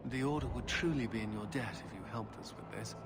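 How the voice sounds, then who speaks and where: A man's voice: medium pitch, brisk, natural voice. A man playing a stern knight captain, noisy backdrop. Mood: grave, formal. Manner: formal, grave. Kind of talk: video game cutscene acting. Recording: clear ambient game noise behind.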